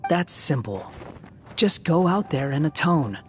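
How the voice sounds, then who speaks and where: A man's voice: on the high side, very animated, a little slow, soft background music. A man voicing an aloof, prickly young man in a video game, curt and dry but grudgingly kind. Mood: dry, matter-of-fact.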